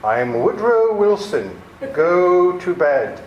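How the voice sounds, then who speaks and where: Casting: man to play menacing radio-play villain, theatrically courteous and bossy, animated melody, roomy sound with faint noise. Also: high voice, slow pace, dull audio, heavily acted. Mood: commanding. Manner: mock-courteous, commanding, theatrical. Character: menacing, scheming criminal nephew with a changed face. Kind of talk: 1940s-style radio theater acting. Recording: echoey room with faint noise.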